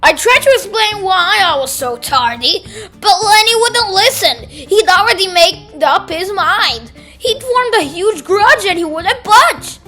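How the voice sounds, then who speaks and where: A woman voicing a sour, dramatic grape who tells its own story in an expressive children's read-aloud manner. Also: very high-pitched, heavily acted. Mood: indignant. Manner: exclamatory, theatrical, drawn-out delivery.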